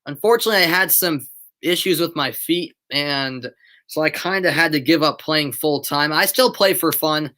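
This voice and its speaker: Young American male, amateur play-by-play caller, bright tense tone, excitable on chances, webcam in a bedroom. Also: on the high side, moderate pace. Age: young adult. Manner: excitable build-ups on shots, chatty asides to viewers. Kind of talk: live play-by-play commentary mixed with chat. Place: bedroom at home.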